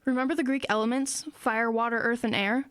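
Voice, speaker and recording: A woman's voice: on the high side, steady tone, brisk, a bit bright, clean tone, dry recording, lightly acted. A woman playing an analytical teenage schoolgirl in an audio drama, matter-of-fact and reasoning things out aloud. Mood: analytical, determined. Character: earnest, analytical teenage schoolgirl amateur sleuth.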